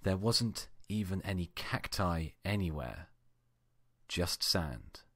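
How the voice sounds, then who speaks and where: A man with a deep voice reads a first-person tale as an amateur narrator. His delivery is expressive and theatrical, acting out the exasperation in the text. The sound is dry, with very little room in it. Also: slow pace, no echo. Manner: expressive, acts out exasperated outbursts. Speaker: amateur fan fiction reader. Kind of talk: dramatic first-person story reading.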